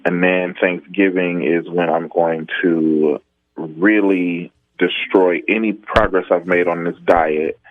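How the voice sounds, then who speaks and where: Low-voiced male caller, easygoing and rambly, heard through a phone line. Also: steady tone, slow. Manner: rambling, filler-heavy, easygoing. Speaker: phone-in comedian guest. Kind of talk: casual phone interview. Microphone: telephone.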